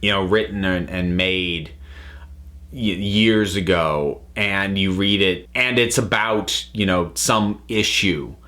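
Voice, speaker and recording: Low-pitched man giving a thoughtful review. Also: sharp tone. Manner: thoughtful, halting phrasing with repeated words. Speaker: review channel host. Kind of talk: casual spoken review to camera.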